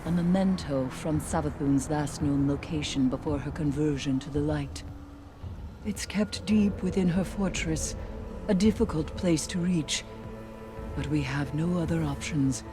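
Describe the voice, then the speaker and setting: A woman voicing a solemn, cryptic occult scholar in a video game, speaking in a measured, formal way. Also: sits low, music in the background. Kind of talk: video game cutscene voice acting. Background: orchestral game score.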